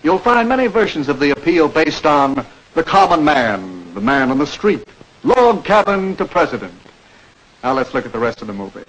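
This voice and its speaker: An actor playing a patient campaign mentor, explaining and probing with questions in a 1940s educational film. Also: male voice, on the high side, big pitch swings, moderate pace, fairly bright tone. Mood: instructive. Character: patient campaign speechwriter coaching a young learner. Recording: noisy old 1940s film soundtrack.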